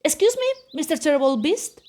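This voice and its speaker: Woman voicing a high, plucky storybook girl. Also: sing-song, fairly quick, darkish tone. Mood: polite, persuasive. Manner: polite but firm, persuasive. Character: plucky, quick-thinking girl standing up to a monster. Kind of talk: picture-book character voice.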